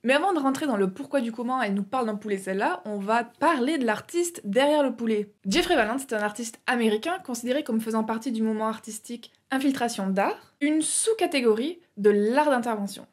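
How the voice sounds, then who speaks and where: A woman's voice: on the high side, animated, a little fast, few pauses. Chatty young woman explaining art. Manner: chatty, frequent filler hesitations. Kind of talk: casual educational video narration.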